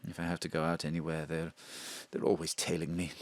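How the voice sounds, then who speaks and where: A man's voice: rumbling low, completely flat delivery, fast, no echo. An actor playing a frightened male drag performer, with a theatrical, swooping storytelling manner. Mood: confiding. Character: male drag performer Bruno, also Mona, frightened fugitive. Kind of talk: audio drama acting. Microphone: home studio mic.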